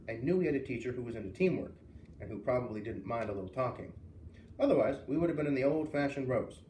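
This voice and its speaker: A man's voice: mid-range voice, a little mellow. A man reading a children's novel aloud as a storyteller, expressively acting out the dialogue. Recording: roomy, distant mic with faint hiss.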